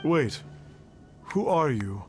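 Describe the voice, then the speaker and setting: An actor playing a grandiose self-styled immortal sage in a video game, speaking in a pompous, stilted, archaic formal way. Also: male voice, on the high side, sing-song, slow, faint music behind. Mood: lofty. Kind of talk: video game cutscene acting.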